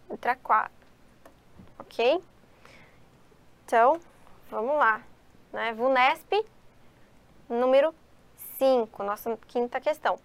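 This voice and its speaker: High-voiced woman teaching exam questions. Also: clean tone. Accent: Brazilian Portuguese. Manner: explanatory, addresses students, asks and answers own questions. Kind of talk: exam-prep lesson, reading and explaining questions.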